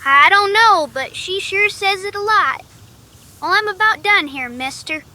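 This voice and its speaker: A woman's voice: very high voice, expressive, unhurried, sharp tone, full character voice. A woman playing an eager, scrappy young shoeshine boy with plucky folksy talk in a radio Western. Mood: eager, chirpy. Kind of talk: old-time radio Western acting.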